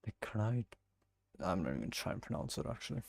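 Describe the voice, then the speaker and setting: Male game streamer, very creaky, close dry sound, casual, thinking aloud. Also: on the low side, sing-song, no room sound. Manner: musing aloud, halting. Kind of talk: casual gameplay commentary.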